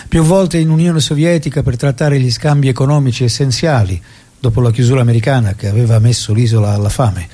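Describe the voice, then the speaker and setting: An actor playing the solemn narrator of a revolutionary's life, in dramatic scripted style. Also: male voice, mid-range voice, fast, dark tone, lightly acted.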